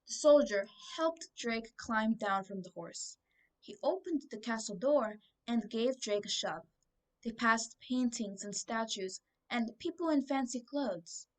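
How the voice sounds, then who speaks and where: A woman's voice: fairly high pitch, expressive, a little slow, recorded from a distance, rough recording. A twelve-year-old girl reading a children's storybook aloud as scripted narration. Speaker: book reader.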